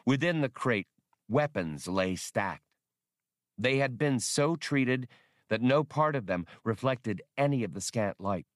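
Male narrator for adventure audiobook: lowish register, scripted storytelling read, tight dry studio sound. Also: fairly bright tone, no room sound.

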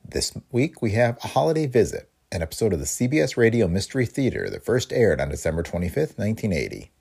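An American man hosts a podcast with a scripted introduction. His voice is fairly low and husky, with plenty of fry and a varied melody. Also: sing-song, quick pace, darkish tone. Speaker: podcast host.

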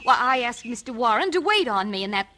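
An actress playing a spoiled, indignant young rancher's daughter, petulant and demanding, in an old radio western. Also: female voice, a little high, sing-song, fast, sharp tone. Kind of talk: 1950s radio western acting.

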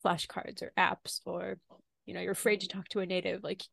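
A woman's voice: fairly low pitch, flat delivery, a little fast, gravelly, a bit bright, dry recording. A woman hosting a podcast interview in a casual, conversational way, rephrasing and elaborating as she goes.

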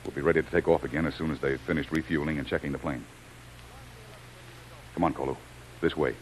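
An actor playing a resolute, commanding adventurer in a vintage radio serial, with firm, declamatory delivery. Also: male voice, a bass voice, steady tone, fast, rough recording. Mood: cautious, commanding. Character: Jim, resolute heroic adventurer, commanding and brave. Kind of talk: 1930s-40s radio adventure acting. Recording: hissy old radio recording.

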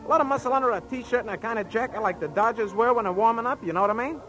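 A man's voice: very high voice, rapid-fire, fairly bright tone, low-quality recording. An actor playing a shady, dapper ex-con businessman with slangy, insistent tough-guy talk in 1940s radio style. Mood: urgent, uneasy. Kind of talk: 1940s radio crime drama acting. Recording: old radio recording with music.

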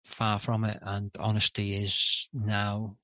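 Hesitant low-voiced man, dry sound. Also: monotone, takes it slowly, bright, forceful tone, no echo. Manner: hesitant, many "um"s and restarts. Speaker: podcast guest. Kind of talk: candid interview talk.

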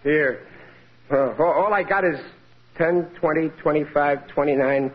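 An actor playing a harried working-class husband who is always broke, grumbling and exasperated in 1940s radio acting, on a muffled old broadcast. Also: male voice, a little high, unhurried, close to an everyday voice.

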